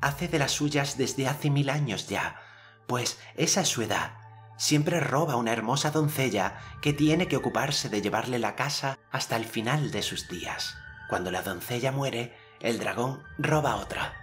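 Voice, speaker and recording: A man's voice: mid-range voice, animated, unhurried, a bit bright, faint music behind, voice pushed up. An adult man voicing a young boy hero in an audiobook, speaking in a solemn, formal old-fashioned way. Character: earnest boy hero, commanding storyteller.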